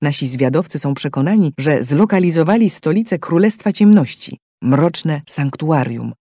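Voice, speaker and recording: A man's voice: fairly high pitch, expressive, moderate pace, dark tone, no room sound, clean studio sound. A man giving scripted, dramatic narration for a game story in a solemn, declamatory manner.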